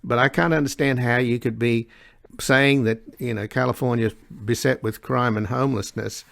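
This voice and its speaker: Very gravelly male interview host. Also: mid-range voice, steady tone, fairly bright tone, no room sound. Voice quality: gravelly, creaky. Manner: halting, opinionated, posing long leading questions. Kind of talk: broadcast political interview.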